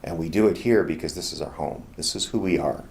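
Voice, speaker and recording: A man's voice: extremely low pitch, brisk, vocal fry, a little mellow. A middle-aged American man explaining things thoughtfully and conversationally in a casual promotional interview. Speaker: radio theater founder and organizer.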